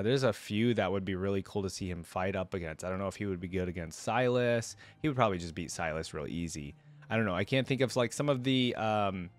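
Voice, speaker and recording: A man hosting a reaction video, commenting casually and speaking to viewers. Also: sits low.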